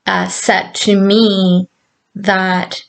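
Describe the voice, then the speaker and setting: A woman from Argentina telling a personal spiritual story in an unscripted, meandering way, pausing often with fillers. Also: mid-range voice, level tone, gravelly. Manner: slow, meandering, soft-spoken with many fillers.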